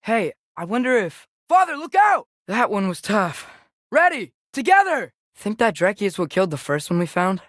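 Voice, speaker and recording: A man's voice: high-pitched, very animated, normal speed, a bit bright, dry recording. A young male actor voicing an earnest, curious teenage boy adventurer in a video game, tentative but eager in a naturalistic style, recorded dry and close. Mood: urgent, excited.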